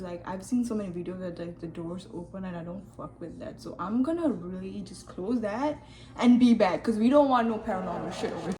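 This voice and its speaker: Chatty swearing woman in her twenties. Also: medium pitch. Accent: mixed Singaporean-Indian. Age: 20s. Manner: chatty, swears often, swings between hushed and loud. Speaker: vlogger. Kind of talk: casual confessional chat to camera. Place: room at home. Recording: echoey room.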